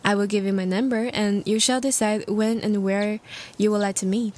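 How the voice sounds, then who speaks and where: A woman's voice: mid-range voice, steady tone, moderate pace, a little mellow, natural voice. A woman playing a courteous, smitten old-world vampire, speaking formally and intimately in a roleplay audio drama. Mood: charmed, courteous. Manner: formal, old-fashioned diction, tender and breathy.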